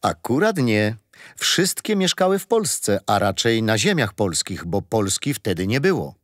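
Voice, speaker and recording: An actor playing a patient, gentle grandfather telling children a history story in a radio play. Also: fairly low pitch, very animated, measured pace, no echo, studio quality. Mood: patient, fond. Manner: gentle, didactic.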